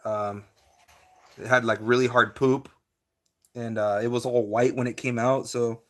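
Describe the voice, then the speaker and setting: Man, live stream host, relaxed and chatty, lots of ums, mid-pitched voice. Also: measured pace. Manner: laid-back, chatty, many fillers, reads chat aloud and answers it. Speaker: reptile breeder hosting a live stream. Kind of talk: casual live stream chat.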